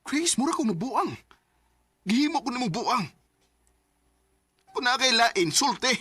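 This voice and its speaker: A man's voice: extremely high pitch, big pitch swings, a little slow, bright, forceful tone, breathy edge, no echo, heavily acted. A man acting a hurt, devoted young man in love in an emotional radio drama, earnest and intense. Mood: hurt, agitated.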